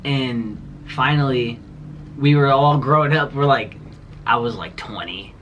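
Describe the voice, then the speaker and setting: A man's voice: medium pitch, measured pace, muffled recording, slight background noise. A man in his twenties telling a childhood story in a casual vlog, rambling with lots of fillers and laughing as he goes. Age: 20s. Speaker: vlogger.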